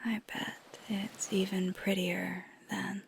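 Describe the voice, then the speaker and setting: A woman playing a flirty, tender vampire in an intimate ASMR roleplay, speaking softly and gently, mostly in a breathy whisper. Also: mid-range voice, flat delivery, slight background noise. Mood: soft wonder. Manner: slow, gentle, hesitant, teasing.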